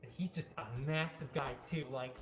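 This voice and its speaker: A woman's voice: low voice, steady tone, moderate pace. A woman co-hosting a cat cafe livestream with casual, unscripted chat indoors. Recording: roomy, dull livestream sound with music. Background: background music.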